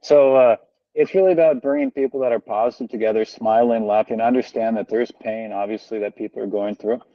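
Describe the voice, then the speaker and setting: A man's voice: mid-range voice, fairly even intonation, brisk, no echo. Earnest American man on a muffled call. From United States. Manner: rambling, filler-heavy, earnest. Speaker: guest, founder of a social organization. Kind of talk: casual conversational interview. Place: home, family moving about behind him. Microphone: video call. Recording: dull, lo-fi video call audio.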